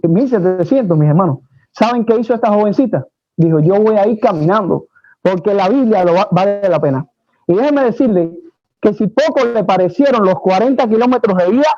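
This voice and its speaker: A man's voice: sits high, a little mellow, no room sound, highs cut off. A middle-aged Cuban man with a Cuban Spanish accent, preaching a sermon and telling stories with frequent hesitations. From Cuba. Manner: earnest storytelling with frequent hesitations. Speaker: pastor.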